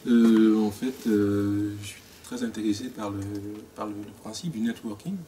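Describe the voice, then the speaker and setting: A man's voice: fairly low pitch, normal speed. A man from Gabon introducing himself to a group, hesitant with many fillers and restarts. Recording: distant mic, faint room noise.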